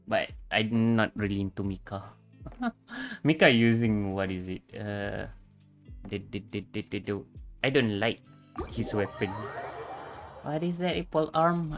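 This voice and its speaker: A man doing casual livestream game commentary in non-native English, haltingly thinking aloud, with game music behind him. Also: fairly low pitch. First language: not English. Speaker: streamer. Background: video game music.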